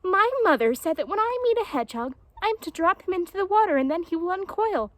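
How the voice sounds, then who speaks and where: Casting: a woman as young storybook jaguar, male, petulant and muddled, very high and animated, some background noise. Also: brisk, no room sound. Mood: earnest, self-important.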